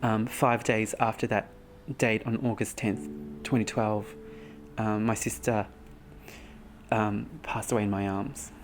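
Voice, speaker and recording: Soft-spoken Cambodian-born man. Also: fairly low pitch, little pitch movement, music in the background. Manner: soft-spoken, earnest, pausing with frequent ums. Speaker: business founder. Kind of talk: documentary testimony and reflective narration.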